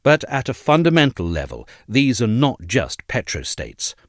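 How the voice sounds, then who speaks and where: A man reading a formal essay aloud as scripted narration, in a measured, articulate manner. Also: medium pitch, wildly swooping pitch, dry recording, studio quality.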